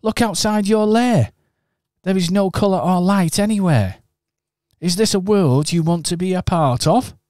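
A man acting in a local radio pantomime, earnest and expressive in his storytelling. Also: high-pitched, a little slow, no echo, clean studio sound. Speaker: pantomime narrator and actor.

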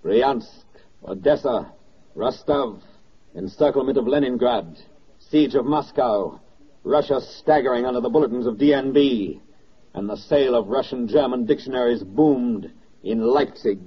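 An actor playing a grave, bitterly ironic wartime narrator in a 1940s radio drama, speaking in measured, declamatory phrases. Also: male voice, medium pitch, slightly rough. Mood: grim irony. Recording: dull vintage 1940s radio recording, music behind. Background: music.

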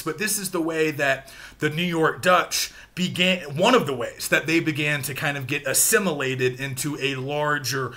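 A man's voice: a little high, sharp tone. A man of about forty from the American South with an American accent, giving an informal, conversational recorded lecture from a room at home. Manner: explanatory, conversational, checking in with "right" and "you know". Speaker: literature lecturer. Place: small home room, indoors.

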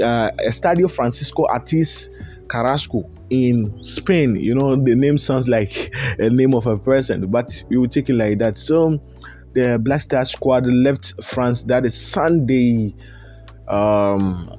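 A man's voice: mid-range voice, darkish tone. A young man hosting a sports vlog indoors, talking upbeat and chatty to viewers with fillers and asides. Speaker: sports channel host. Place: indoors at a desk set.

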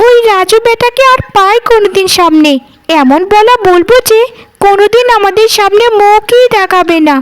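A woman's voice: very high-pitched, normal speed. A woman voicing a catty, mocking gossip of a village wife in a cartoon. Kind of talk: cartoon dialogue.

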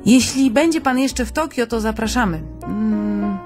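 An actress in a naturalistic radio drama playing a friendly Polish émigré woman, gentle, informal and slightly hesitant as she converses. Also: on the high side, unhurried, over music, natural voice. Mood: friendly, upbeat.

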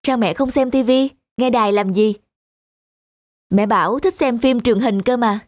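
Actress as affectionate high-voiced young daughter. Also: female voice, moderate pace, no room sound, studio quality, close to an everyday voice. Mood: coaxing. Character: affectionate young working woman, a devoted daughter. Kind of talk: animated drama dialogue.

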